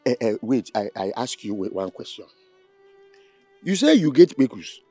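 A man's voice: medium pitch, very animated, moderate pace, dark tone, breathy edge, no room sound, soft background music. An actor playing a giggly, lovestruck suitor with a Nigerian accent, teasing and stumbling over his words. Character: giggly lovestruck village suitor, grown man. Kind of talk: comic film acting.

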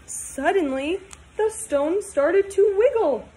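A woman in her twenties reading a storybook aloud to young children, talking in simple, sing-song, animated phrases. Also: very high-pitched, takes it slowly, poor audio. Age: 20s. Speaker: teacher reading aloud to pupils.

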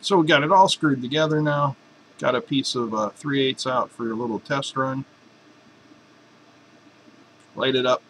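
A man's voice: medium pitch, a little fast, fairly bright tone, slight background noise, low-quality recording. A man in a home workshop explaining a welding torch swap in a casual, joking, folksy way. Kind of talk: casual workshop how-to narration.

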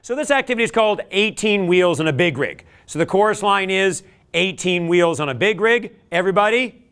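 A man's voice: sits high, a little fast, clean tone. Upbeat man leading a classroom workshop. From Canada. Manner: upbeat, animated, with sudden loud calls to the room. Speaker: leadership trainer. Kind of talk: energetic workshop presentation. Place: large classroom, standing before a crowd of students. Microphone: far camera.

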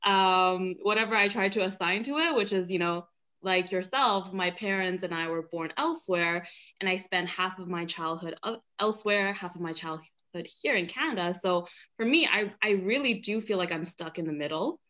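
A young woman who grew up in China and has Mandarin as her first language. She tells stories in a chatty, animated way, often breaking into laughter, and her voice is heard through a laptop mic on a video call. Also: fairly low pitch, normal speed. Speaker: panelist. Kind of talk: casual storytelling on a panel.

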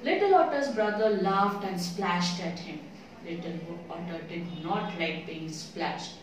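A woman reading aloud to children in an expressive storytelling way, putting questions to the listener. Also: lowish voice, unhurried. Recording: very echoey room, distant mic, faint noise.

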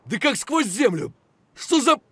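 An actor playing an aggressive, foul-mouthed mercenary in a military shooter game, giving short, punchy combat callouts. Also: male voice, very high voice, sing-song, unhurried, fairly bright tone, breathy edge, no echo, full character voice. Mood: irritated, searching.

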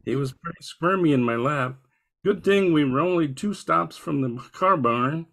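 A man plays an unpretentious family man in a radio drama. His voice is gravelly and a little high, with a matter-of-fact manner. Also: expressive, moderate pace, a bit bright. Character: plain-spoken family man, Joey's daddy. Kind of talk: amateur radio play acting.

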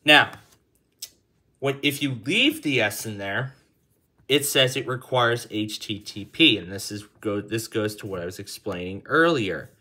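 A man's voice: fairly low pitch, unhurried, pushed and bright. A man giving a casual hands-on tutorial as its host, thinking aloud as he works through the steps indoors. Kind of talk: casual hands-on app demonstration.

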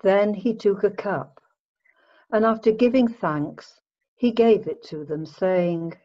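Slow-reading woman narrator, mellow voice. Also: mid-range voice. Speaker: narrator, church member. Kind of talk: dramatic scripture reading, measured. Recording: dull lo-fi home recording.

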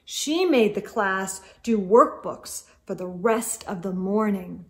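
A middle-aged woman reads a picture book aloud for children, expressive and carefully phrased, as a storytime host. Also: mid-range voice. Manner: deliberate, clearly phrased delivery aimed at young children. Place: indoor room with bookshelves.

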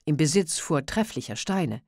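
A woman's voice: sits low, lively intonation, normal speed, a little mellow, breathy edge, dry recording, clean studio sound. A woman voicing a stern, suspicious male police president in an audiobook reading.

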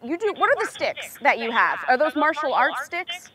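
High-voiced young woman, chatty reporter, crowd behind. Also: sing-song, moderate pace. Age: young adult. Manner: chatty, upbeat. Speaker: team reporter, interviewer. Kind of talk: casual playful interview. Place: outdoors in stadium stands.

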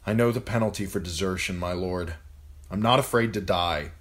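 A man's voice: low-pitched, normal speed, a bit bright. A man voicing a sullen young man in an audiobook, answering in short, terse lines. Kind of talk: audiobook character voice.

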